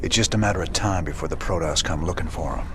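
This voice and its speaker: A man voicing a gruff, world-weary space rebel commander in a video game, with a folksy Southern American drawl. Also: deep voice, quick pace, noisy background, natural voice. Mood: grave.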